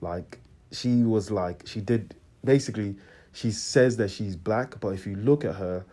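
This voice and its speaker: A young man from Congo, telling a story in a slangy, animated rant and often asking "you know what I'm trying to say". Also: on the low side, a little slow. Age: young adult. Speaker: vlogger.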